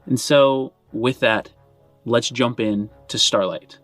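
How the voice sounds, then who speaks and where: Male game master, medium pitch, casual conversational storyteller, tabletop hosting, faint music behind. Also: steady tone, slow pace, fairly bright tone, no room sound. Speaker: game master and show host. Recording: background music under voice.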